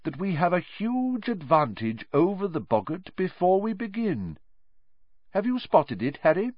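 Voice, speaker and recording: A man voicing a cheerful, thoughtful teacher of magic in an audiobook, with a deliberate, encouraging manner. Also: high voice, very animated, no room sound, muffled recording, voice pushed up, exaggerated voice. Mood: brisk, instructive. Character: cheerful, reassuring, thoughtful male schoolteacher of magic. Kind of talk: audiobook character reading.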